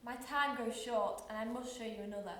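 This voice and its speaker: A woman's voice: on the high side, brisk, close to an everyday voice. A teenage girl playing a solemn, stern female ghost guide in a radio play, speaking portentously. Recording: echoey room, background noise.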